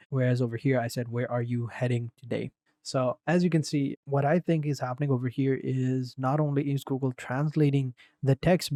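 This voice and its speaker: Mellow-voiced man, relaxed tutorial talk. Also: mid-range voice, no echo, clean studio sound. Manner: relaxed, rambling, repeats "go ahead". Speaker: tutorial presenter. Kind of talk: casual screen-recording walkthrough.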